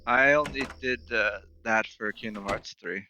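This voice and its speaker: A man's voice: fairly low pitch, a little slow, sharp tone, slight background noise. A man in his thirties chatting on a friend's game stream, talkative and hesitant with fillers. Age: 30s. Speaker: co-host friend. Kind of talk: casual gaming stream chat.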